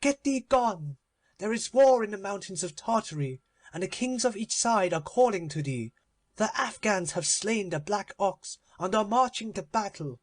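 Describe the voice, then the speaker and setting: A man's voice: sits high, animated, moderate pace, voice pushed up. A man voicing a miserly, defiant female spirit with indignant, old-fashioned storybook speech. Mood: anguished, angry.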